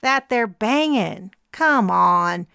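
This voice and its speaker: A woman voicing a weary grown man who speaks in a slow drawl. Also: mid-range voice, creaky, no room sound, studio quality. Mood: exasperated.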